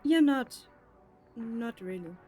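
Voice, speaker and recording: An actress playing a bossy, teasing young student sister in an audio drama, with a know-it-all manner. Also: female voice, on the high side, animated, takes it slowly, dark tone, soft background music. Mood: half-reluctant. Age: young adult.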